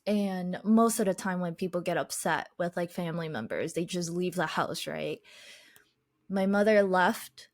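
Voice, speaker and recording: Soft-spoken woman, lowish voice. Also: a little slow, dry recording. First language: Chinese language. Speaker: podcast guest, life coach. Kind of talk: personal storytelling in an interview.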